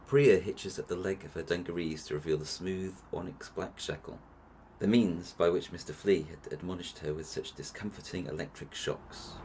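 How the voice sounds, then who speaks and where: A man's voice: low voice, normal speed, a little mellow, slight background noise, poor audio. A man narrating an audio drama in a scripted storytelling style.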